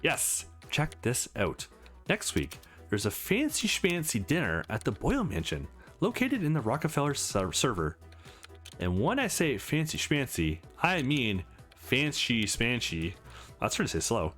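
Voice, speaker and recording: Man voicing an eager, animated young hacker woman. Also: medium pitch, measured pace, faint music behind. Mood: playful, cocky.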